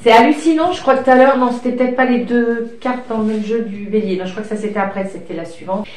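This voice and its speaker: A woman's voice: on the high side, fairly even intonation, fast talker, a little mellow. Stuffy-voiced woman in an echoey room. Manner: rambling, hesitant, with many fillers; affectionate, talks to her pet and child. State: has a cold, coughing. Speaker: tarot card reader. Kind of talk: casual card-reading chat. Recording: echoey room, distant mic, light noise.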